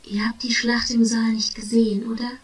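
A woman playing a wary fantasy adventurer with a hushed, breathy, whispery voice in an audio drama. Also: on the high side, little pitch movement, brisk, close to an everyday voice. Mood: ominous. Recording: echoey, distant from the microphone.

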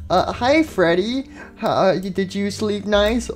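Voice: mid-range voice, expressive, unhurried, faint music behind, natural voice. A performer playing a nervous, awkward but patient animatronic puppet in a cartoon, speaking in a hesitant, soothing, stammering way.

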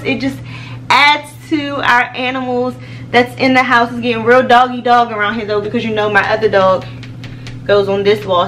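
A woman's voice: on the high side, a bit bright. An American woman in her thirties talking informally and chattily to the camera as a home vlogger. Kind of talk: casual chat to camera. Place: small home office room, indoors. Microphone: camera a few feet away. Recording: distant camera mic with background noise.

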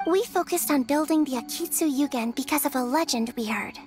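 A woman acts a young girl spirit with a high voice in a video game. It is polite, sincere and measured, like someone telling a tale, over background music. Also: darkish tone, natural voice. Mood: earnest storytelling.

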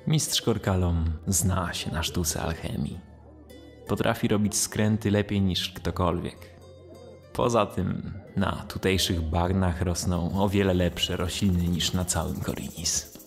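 An actor playing a solemn, confident envoy of a cult, formal and assured, in a fantasy audio drama. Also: male voice, sits low. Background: music.

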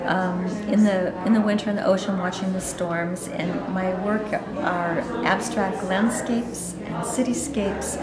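A middle-aged woman painter describing her work in a thoughtful, hesitant way. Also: fairly low pitch. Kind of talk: casual interview at an art walk. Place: gallery, indoors. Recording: echoey room with loud crowd.

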